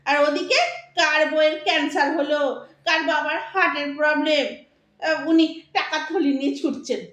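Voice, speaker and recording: A woman's voice: high-pitched, steady tone, moderate pace, fairly bright tone. A woman playing a nagging, aggrieved middle-aged wife in an audio drama, bossy and quarrelsome, complaining with theatrical sharpness. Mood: complaining, reproachful. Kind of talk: Bengali audio drama acting. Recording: echoey room sound.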